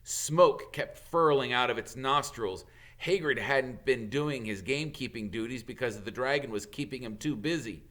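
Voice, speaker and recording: A man reading a children's story aloud as an expressive narrator, like a teacher reading to pupils. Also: medium pitch, normal speed.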